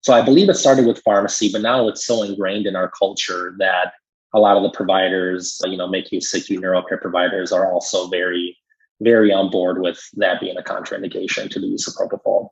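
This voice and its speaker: A man's voice: deep voice, level tone, a little fast, gravelly, husky. A man explaining things conversationally as a pharmacist guest in an interview, emphatic when he agrees, on slightly muffled audio. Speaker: critical care pharmacist guest.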